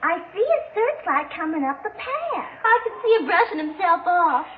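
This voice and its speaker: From a dull, slightly noisy 1960s radio drama comes a young American country widow. Her voice is extremely high and girlish. She sounds plaintive and weary. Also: very animated, moderate pace. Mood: coy, matter-of-fact. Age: young adult.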